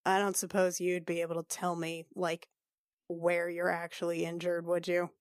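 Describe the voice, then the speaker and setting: A woman's voice: on the low side, monotone, takes it slowly, dry recording, studio quality. A woman playing a worried, exasperated lady medic in a tabletop game, stammering as she asks probing questions. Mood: weary, exasperated. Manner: stammering, repeating herself, probing. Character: brisk, worried female medic noblewoman, exasperated.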